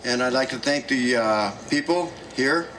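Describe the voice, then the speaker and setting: Slow guy talking to a crowd outdoors, lots of ums, far from the mic, noisy. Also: medium pitch, fairly bright tone. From South Dakota, United States. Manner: slow, many pauses and fillers. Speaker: speaker at a gathering. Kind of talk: halting public address. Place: outdoor gathering. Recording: distant mic with noise.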